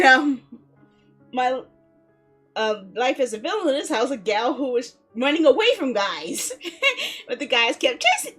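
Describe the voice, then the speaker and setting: A woman's voice: fairly high pitch, a bit bright. A woman hosting a video game livestream, chatting casually with viewers in a playful, giggly way. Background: music.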